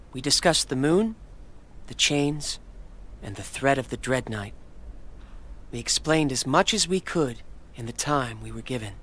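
An actor playing a boyish young game hero, earnest, dependable and modest. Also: male voice, on the high side, a bit bright. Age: young adult.

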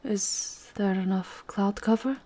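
A woman playing a seductive, aristocratic vampire countess in an intimate ASMR roleplay, with a breathy, husky voice that often drops to a whisper. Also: medium pitch, dark tone, slight background noise, natural voice. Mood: tender, languid. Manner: slow, sensual, unhurried murmuring.

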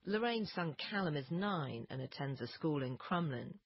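Husky female radio presenter. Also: deep voice, lively intonation, moderate pace, no room sound, lo-fi sound. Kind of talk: scripted broadcast introduction.

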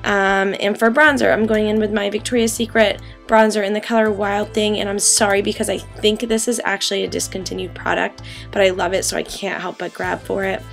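A young woman in her twenties, raspy from a cold, chatting through a makeup tutorial in a bedroom, full of ums and likes. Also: medium pitch, little pitch movement, a little fast, hardly any pauses, faint music behind. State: getting over a cold. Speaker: beauty vlogger. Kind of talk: casual makeup tutorial talk. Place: bedroom, indoors.

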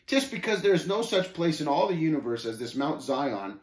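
A man's voice: fairly high pitch, big pitch swings, normal speed, a little mellow, voice pushed up. A man voicing Shame's part in a story, a haughty scoffer who talks in archaic speech with contemptuous, gloating, snapping taunts. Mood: sneering, gloating. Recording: roomy, echoing voice recording.

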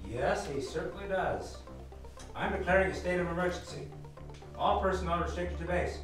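An actor playing a brisk, commanding army major in a radio drama. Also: male voice, high-pitched, lightly acted. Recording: very echoey, distant, faint music.

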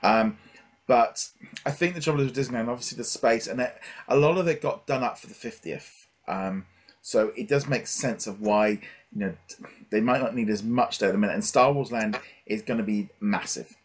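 A man's voice: medium pitch, a little fast. A middle-aged man chatting casually as a podcast host, hesitant and rambling as he thinks aloud. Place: small home room with shelves, indoors. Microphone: headset.

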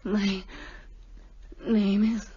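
An actress playing a frail, distressed young woman under hypnosis in a radio drama, answering haltingly and faintly. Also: mid-range voice, flat delivery, mellow. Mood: dazed, faint. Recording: dull radio recording, faint noise.

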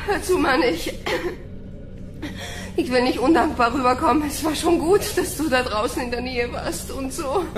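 A woman's voice: high-pitched, moderate pace, lightly acted. A woman playing a defiant young recruit in a sci-fi audio drama, audibly out of breath. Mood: breathless, awkward.

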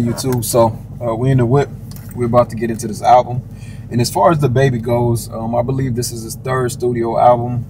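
Laid-back man with a flat voice. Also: mid-range voice. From Washington State, US. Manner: laid-back, slangy, with fillers. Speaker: music reviewer, channel host. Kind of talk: casual album reaction chat. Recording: noisy background.

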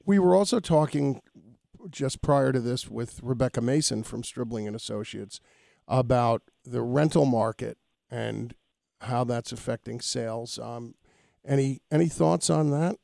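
Gravelly male radio host. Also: medium pitch, unhurried, warm tone, dry recording, studio quality. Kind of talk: conversational radio interviewing.

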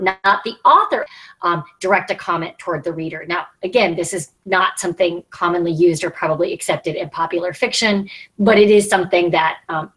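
Slightly low-pitched woman on a panel. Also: slightly rough, poor audio. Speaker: podcast panelist. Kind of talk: conversational explanation. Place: small plain room, indoors. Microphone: earbud headset on video call.

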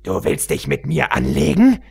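A man's voice: medium pitch, huge pitch swings, normal speed, vocal fry, darkish tone, breathy edge, full character voice. A man voicing a haughty, spiteful insect-pony queen, a menacing storybook villain.

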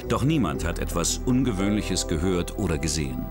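A man with a fairly low voice narrates a true-crime documentary, his pitch rising and falling lively as he builds tension. He tells the story in a measured, dramatic way. Music plays clearly under the studio voice-over. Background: background music.

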